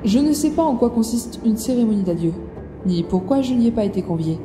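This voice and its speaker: A woman's voice: a little high, lively intonation, fairly quick, background noise, lightly acted. A woman acting a wary, protective character in an audio drama, anxious and cautioning. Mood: worried. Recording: long hall reverb under music.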